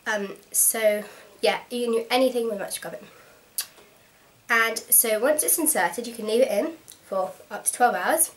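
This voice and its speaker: A woman's voice: a little high, moderate pace, poor audio. A young woman with a slight cold, frankly and practically explaining a product in a casual home vlog, with plenty of ums. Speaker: vlogger.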